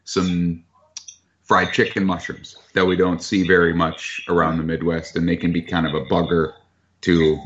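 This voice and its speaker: Very deep-voiced man, relaxed and frank. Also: moderate pace, slight background noise. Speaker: chef who buys from foragers. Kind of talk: casual interview talk. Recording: dull lo-fi sound.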